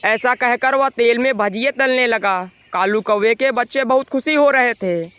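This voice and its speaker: A man with an extremely high, clean and smooth voice. He reads a children's moral story as a scripted narrator. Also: a little slow, fairly bright tone.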